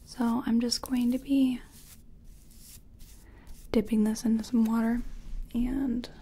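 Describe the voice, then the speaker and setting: Female ASMR makeup-artist role: very breathy whisper, unhurried pace, gentle murmured personal attention. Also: fairly high pitch, little pitch movement. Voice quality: breathy, mostly unvoiced whisper. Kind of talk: soft-spoken whispered ASMR roleplay.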